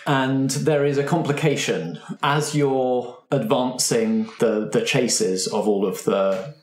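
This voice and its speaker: Man, mid-pitched voice, casual game master narration, echoey room. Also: slow. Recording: roomy, echoey recording.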